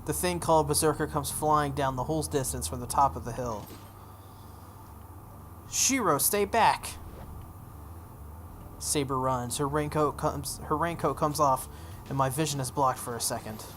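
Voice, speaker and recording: A woman's voice: rumbling low, fairly even intonation, fairly quick, hardly any pauses. A woman hosting a video game playthrough, reading a visual novel aloud expressively with offhand casual asides. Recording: some background noise.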